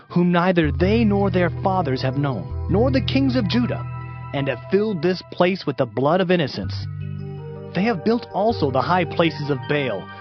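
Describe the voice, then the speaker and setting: Stern, high-voiced male prophet. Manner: declamatory, stern. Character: stern male Hebrew prophet proclaiming doom. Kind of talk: dramatized scripture reading. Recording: slightly muffled, lo-fi. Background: prominent music.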